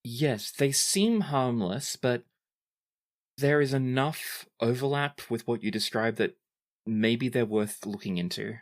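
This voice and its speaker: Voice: medium pitch, slow pace. A game master improvising and narrating in a tabletop role-playing game.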